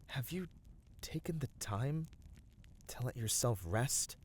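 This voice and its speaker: A man playing a gentle, devoted male battle dancer of a fantasy race in an ASMR roleplay, speaking earnestly and soothingly with dramatic pauses. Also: medium pitch, lively intonation, slight background noise. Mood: tender concern. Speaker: voice actor.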